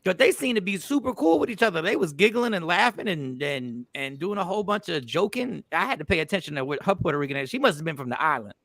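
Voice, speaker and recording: A man hosting a casual group chat, animated and joking. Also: sits high, quick pace, few pauses, dry recording. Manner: animated, joking, loud laughs. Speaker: show host.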